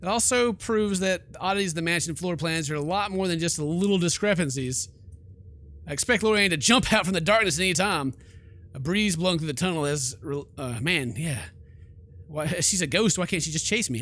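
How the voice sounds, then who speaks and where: Bright-voiced man reading a game aloud. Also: on the high side, a little fast. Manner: theatrical reading broken by slangy asides. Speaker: let's play host. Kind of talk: reading game narration aloud with joking commentary. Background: game music.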